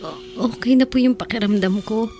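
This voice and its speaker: A vulnerable young woman in love voiced by an actress in a radio drama. Her voice is high, soft and earnest, with a pleading quality. Light music sits behind her. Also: moderate pace, lightly acted. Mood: apologetic.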